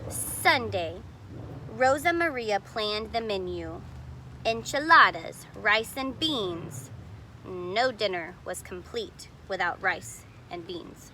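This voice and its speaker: A woman's voice: mid-range voice, lively intonation, slow pace, sharp tone, a little hiss or hum, rough recording. A woman reading a picture book aloud expressively, explaining details to young listeners in casual asides. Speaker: storybook reader.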